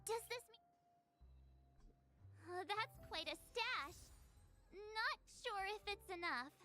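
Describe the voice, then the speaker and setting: An actress playing an excitable, curious young girl in a video game, bubbly and full of exclamations. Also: very high voice, takes it slowly, heavily acted. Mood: amazed. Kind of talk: video game character voice acting. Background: music.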